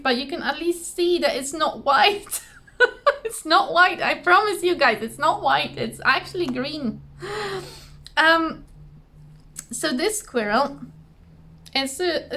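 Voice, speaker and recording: A woman with a slightly hoarse voice, chatting casually to camera at home in a rambling, giggly, self-deprecating way. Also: high voice, animated, a little slow, pauses often, pushed and bright, slight background noise. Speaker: cross-stitch vlogger. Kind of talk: casual chatty craft vlog. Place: indoors at home, trees outside behind her.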